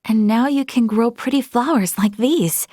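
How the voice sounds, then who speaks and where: A woman's voice: fairly high pitch, normal speed, dark tone, no room sound, clean studio sound, lightly acted. An actress voicing an earnest, modest young woman, a space officer from a prestigious family, in a video game, speaking politely and sincerely. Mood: gentle admiration. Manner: polite, self-effacing, sincere.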